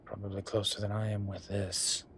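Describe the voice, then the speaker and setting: A man playing a suave, vain incubus demon professor in an audio roleplay, speaking in a formal, measured, wryly teasing way. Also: fairly low pitch, level tone, fairly bright tone, slight background noise. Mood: indignant.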